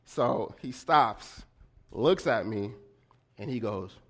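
A man telling a personal story in a sermon, with a slightly nasal voice and animated delivery, often checking in with his listeners. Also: slow pace. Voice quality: slightly nasal and deeper than usual. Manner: animated storyteller with frequent "right?" check-ins. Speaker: preacher.

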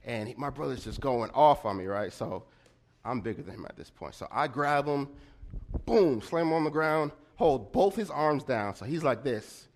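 A man telling a personal story in a sermon, with a slightly nasal voice and animated delivery, often checking in with his listeners. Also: normal speed, slight background noise. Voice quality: slightly nasal and deeper than usual. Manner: animated storyteller with frequent "right?" check-ins. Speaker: preacher.

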